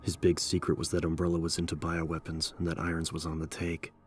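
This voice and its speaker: Very deep, monotone male narrator. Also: fast. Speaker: audiobook narrator. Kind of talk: scripted dramatic narration.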